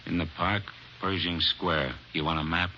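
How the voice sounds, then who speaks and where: Sullen cocky young american punk from old radio drama, really deep and sharp, wisecracks, muffled sound. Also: male voice, fairly even intonation, moderate pace, full character voice. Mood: sullen, defiant. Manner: surly, curt, sarcastic. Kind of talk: 1950s American radio crime drama acting. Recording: dull, noisy old radio recording.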